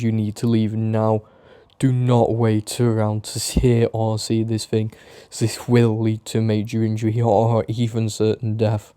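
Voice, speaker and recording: A man's voice: lowish voice, dark tone, clear, resonant tone, no room sound, clean studio sound, close to an everyday voice. A man playing a rock radio DJ who presents news in a casual but urgent manner. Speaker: radio host.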